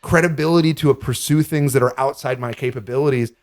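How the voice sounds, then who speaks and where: A man in his thirties, co-hosting a podcast in an animated, jokey casual chat. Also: medium pitch. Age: 30s. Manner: animated, jokey, quick lists. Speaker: podcast co-host.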